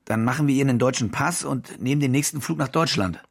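An actor playing a glib, evasive German war reporter in a radio drama, with casual, slangy, hesitant speech and a gravelly voice. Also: male voice, medium pitch, fast talker. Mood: pleading. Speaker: lead actor in a radio play. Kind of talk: naturalistic radio drama dialogue and narration.

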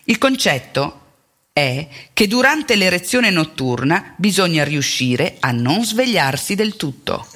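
Bright, forceful woman narrating on stage. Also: on the low side, sing-song, slow pace, slightly rough. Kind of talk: theatrical monologue narration.

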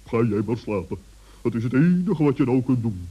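A bossy old owl with a husky, breathy hoot. He is stern and pompous, a reproving wiseacre from an old children's radio play. The vintage recording is dull. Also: medium pitch, very animated. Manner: pompous, reproving, drawn-out emphatic speech.

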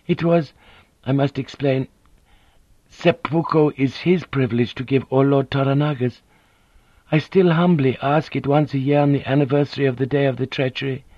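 A man voicing a gentle, composed Japanese noblewoman who speaks softly and formally. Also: medium pitch, fairly even intonation, moderate pace, dry recording, highs cut off, pitched above the performer's own voice, close to an everyday voice. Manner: soft, formal, careful speech.

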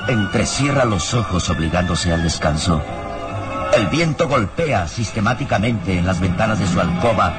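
A man's voice: sits low, animated, normal speed. A man narrating an old radio serial in a solemn, suspenseful, theatrical style. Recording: old radio recording, music behind.

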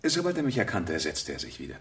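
An agitated crime suspect in a German radio play. He is curt and defensive, with a lowish voice that swings widely in pitch, heard a little way from the mic. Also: wildly swooping pitch, fast talker, fairly bright tone, recorded from a distance, full character voice. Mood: curt, controlled. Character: agitated younger male lover confessing to a killing.

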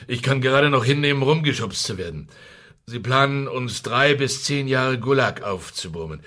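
A desperate Soviet secret police chief in a radio play. His voice is of middling pitch. He speaks with fear and intensity, halting as if cornered. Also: expressive, bright, forceful tone. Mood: desperate, confiding. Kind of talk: radio drama dialogue.

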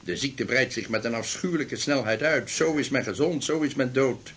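A man's voice: medium pitch, moderate pace, a bit bright, breathy edge. An actor playing a grave, formal town council chairman in a period radio drama, speaking solemnly. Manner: solemn, declamatory.

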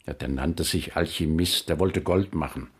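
Husky, low-voiced curt prison commandant. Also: male voice, moderate pace. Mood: curt, offhand. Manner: terse, matter-of-fact. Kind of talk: radio crime drama acting.

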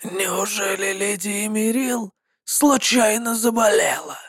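A woman voicing a sly, scheming male warlock mercenary who talks smoothly and mockingly. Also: mid-range voice, slow, no echo, studio quality. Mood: calm.

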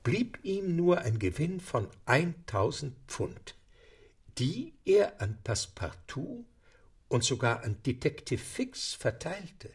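A man narrating a radio play with a gravelly, husky voice and lively, gently ironic storytelling. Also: on the high side, takes it slowly. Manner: lively storytelling, dry irony.

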